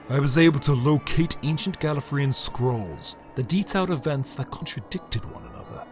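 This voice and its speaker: A man's voice: medium pitch, natural voice. Man playing a brooding alien emperor over music. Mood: grandiose, brooding. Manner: brooding, grandiose, theatrical delivery with deliberate dramatic pauses. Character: bitter, power-hungry immortal male alien emperor, brooding and grandiose. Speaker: reader of an audio story. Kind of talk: dramatic scripted monologue, fan audio drama.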